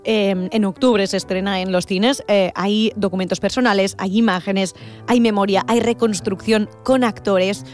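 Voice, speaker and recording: A woman interviewer asks questions in a mid-pitched voice. She speaks in a measured way, with a few fillers. Faint music plays behind her. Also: steady tone.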